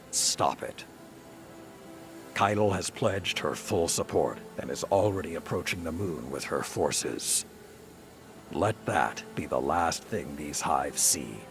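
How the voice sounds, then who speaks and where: An actor playing a grave, stern veteran commander in a video game, with a gravelly voice and a solemn, commanding, deliberate delivery. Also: male voice, extremely low pitch, full character voice. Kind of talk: dramatic video game dialogue. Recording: dramatic music behind the voice.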